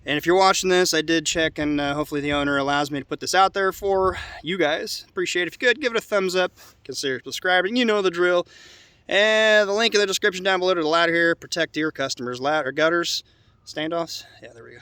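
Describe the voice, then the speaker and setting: A man's voice: on the high side, quick pace, pushed and bright, clear, resonant tone, no echo. A man with a congested, nasal voice giving an informal, unscripted hands-on explanation as a roofer, in a plainspoken, rambling way. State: congested nose. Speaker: roofer presenting his own video. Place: outdoors on a house roof.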